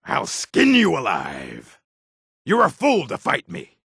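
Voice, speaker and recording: Gravelly, husky orc warrior. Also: male voice, a little high, wildly swooping pitch, slow pace, fairly bright tone, dry recording, clean studio sound, heavily acted. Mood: combative, shouting. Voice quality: gravelly, rough. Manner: menacing, blunt. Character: gruff, aggressive orc warrior, adult male. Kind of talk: video game character barks.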